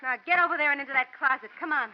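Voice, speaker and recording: A woman's voice: high voice, rapid-fire, natural voice. An actress playing a tough, bitter young gunwoman in a vintage radio drama, hard and commanding in manner. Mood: menacing, commanding. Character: tough young gun-toting woman, bitter and defiant. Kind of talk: 1940s-50s radio drama acting. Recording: muffled old radio recording, faint noise.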